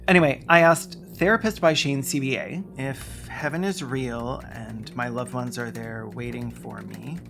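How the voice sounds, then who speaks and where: A video essayist narrating a script with sarcastic, deadpan comic asides. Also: mid-range voice, vocal fry. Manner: sarcastic, wry deadpan jokes.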